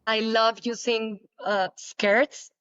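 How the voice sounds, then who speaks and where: A young woman whose native language is Spanish, answering interview questions casually, with many halting restarts. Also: a little high, little pitch movement, a bit bright, clear, resonant tone, no echo, dull audio. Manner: halting, repeats words, laughs often. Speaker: guest, pole dancer.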